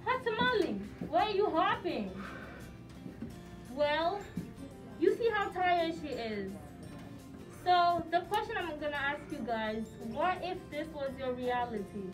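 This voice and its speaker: A young woman in a small classroom, giving children clear step-by-step craft directions. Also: high-pitched, expressive, measured pace. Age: young adult. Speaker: student teacher leading a craft activity. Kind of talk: instructing children. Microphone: far camera. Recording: distant mic with music behind.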